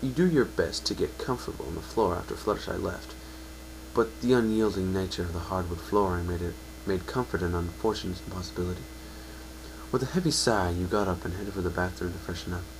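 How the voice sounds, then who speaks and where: A man with a low voice reads a story aloud as narrator, addressing the listener as the hero. His delivery is an amateur dramatic reading with occasional self-corrections, recorded at home over a faint hum. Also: a little fast, vocal fry.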